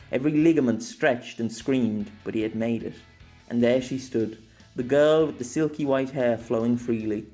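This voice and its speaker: Man, audiobook narrator, steady, descriptive, middle pitch, faint background music.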